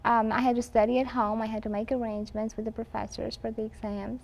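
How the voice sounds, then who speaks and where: A woman in her twenties from Romania, a university professor answering interview questions in a measured way, pausing often with "um" and "uh". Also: on the high side, little pitch movement, gravelly. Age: 20s. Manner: measured, frequent "um" and "uh" pauses. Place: campus film set, indoors.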